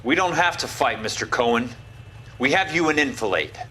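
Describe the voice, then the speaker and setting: A male actor playing a confident, unflappable young ex-soldier in a video game, measured and assured. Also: mid-range voice, animated, sharp tone, slight background noise. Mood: calmly assured.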